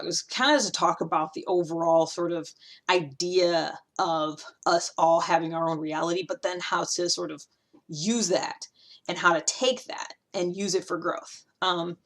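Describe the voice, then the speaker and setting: A woman with a fairly low voice talks straight to her viewers as a video host. Her delivery is informal and conversational, wandering as she thinks aloud, with a self-deprecating streak. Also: a bit bright. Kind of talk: casual personality-type monologue to viewers.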